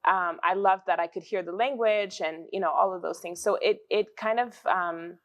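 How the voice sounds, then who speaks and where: Woman, American accent, lowish voice, thoughtful, hesitant fillers, studio guest. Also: moderate pace, dull audio. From USA (Denver, Colorado), Rwandan parents. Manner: thoughtful, with frequent ums and soft affirmations. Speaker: guest, entrepreneur and mother. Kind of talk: conversational interview answers.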